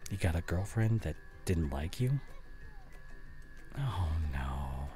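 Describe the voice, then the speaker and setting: Man acting slow-talking, regretful young boyfriend. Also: sits low, very animated, mellow, soft background music, heavily acted. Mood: tender concern. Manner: halting, breathy sighs, dropping into whispers. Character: regretful, anxious boyfriend tenderly consoling his girlfriend. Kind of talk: intimate audio roleplay acting.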